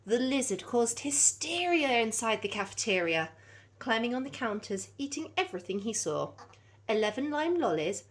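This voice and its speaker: Woman reading a story with lively expression. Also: medium pitch. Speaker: teacher reading aloud. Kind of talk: animated children's story read-aloud.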